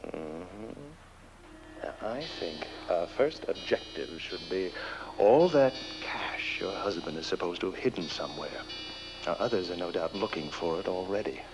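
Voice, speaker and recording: An actor playing a suave, scheming lawyer in horror-comedy film dialogue, with theatrical emphasis and hesitant murmurs. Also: male voice, low-pitched, expressive, a little slow, over music, low-quality recording. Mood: sly, confident. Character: suave, scheming male lawyer wooing a young widow.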